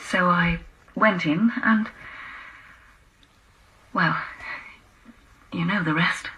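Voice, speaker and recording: An actress playing a nervous married woman giving a halting, hesitant confession to police. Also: lowish voice, pushed and bright, slight background noise, heavily acted, low-quality recording. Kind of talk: radio drama acting.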